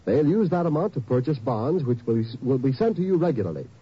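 A male announcer reads a persuasive radio ad in an earnest tone. His voice is very husky, and his pitch moves a lot. The recording is a dull, lo-fi old broadcast. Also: very animated, a little fast. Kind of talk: scripted ad read.

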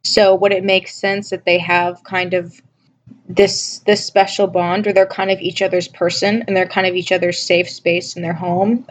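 A woman hosting a solo review podcast, talking casually while sick and losing her voice, with a scratchy voice and musing, self-questioning delivery. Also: on the low side. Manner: musing, asks listeners questions, many restarts. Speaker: podcast host reviewing a TV episode.

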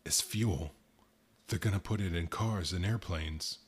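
A man's voice: deep voice, unhurried, breathy edge. A man voicing a frightened, guilt-ridden factory boss who speaks in a choked, tearful voice. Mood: choked, guilty.

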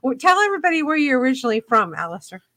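A woman's voice: sits high, very animated, very fast, pushed and bright. A woman hosting a radio talk show, chatty and effusive as she welcomes and questions her guest. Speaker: host.

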